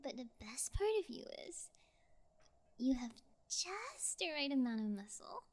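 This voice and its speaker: Girl doing a smug sociopath kidnapper lady for asmr roleplay, high voice, swingy pitch, teasing and flippant. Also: big pitch swings, breathy edge, heavily acted. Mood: sinister, teasing. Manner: flippant, teasing, drawn-out casual delivery. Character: cocky, callous sociopathic female yangire kidnapper, flippant and taunting. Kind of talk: ASMR audio roleplay acting.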